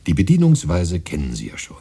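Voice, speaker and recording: Ein Mann spielt in einem Hörspiel einen erregbaren, beschwatzenden Dichter mit behauchter, rauer Stimme und ausdrucksvoller Sprechweise. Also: male voice, sits low, a little fast, exaggerated voice. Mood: polite, teasing. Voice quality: husky, breathy. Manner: expressive, cajoling, muttering to himself at times. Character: excitable, cajoling male poet keen on gadgets. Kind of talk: conversational radio drama acting.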